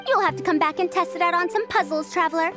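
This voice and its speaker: A woman's voice: extremely high pitch, fast talker, music in the background. A woman voicing a proud, prickly scholar with a youthful look and an old-fashioned, pompous lecturing manner in an anime game dub. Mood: brisk, fond.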